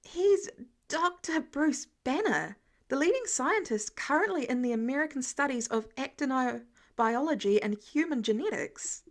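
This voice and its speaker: A woman's voice: high-pitched, animated, measured pace. Woman as bookish teen boy, sarcastic, guarded, casually wry, story reading. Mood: irritated, precise.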